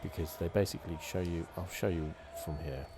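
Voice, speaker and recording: A man giving relaxed, rambling ASMR commentary on a video game playthrough. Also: deep voice, brisk, noisy background. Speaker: gaming channel host. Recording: clear game ambience behind close commentary. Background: video game sounds.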